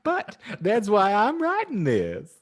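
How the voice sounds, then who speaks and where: A man's voice: sits high, huge pitch swings, takes it slowly, voice pushed up, heavily acted. A man voicing a pious, chirpy housewife who gushes earnestly as she reads out her moralizing fan fiction as a storybook. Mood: gushing, preachy. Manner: gushing, earnest, exaggerated emphasis. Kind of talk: dramatic storybook narration.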